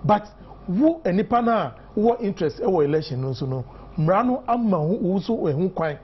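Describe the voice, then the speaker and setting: A man's voice: high-pitched, animated, normal speed, slightly rough, dull audio. Ghanaian man, emphatic political talk. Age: 50s. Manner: switches between English and Twi, emphatic, many fillers. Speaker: political guest. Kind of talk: morning newspaper review discussion.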